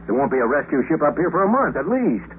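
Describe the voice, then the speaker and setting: An actor playing a brash, good-hearted American astronaut in a 1950s radio drama, slangy and wry, with an American accent. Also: male voice, a little high, sing-song, fast, narrow, tinny audio. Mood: insistent. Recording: thin, noisy 1950s radio recording.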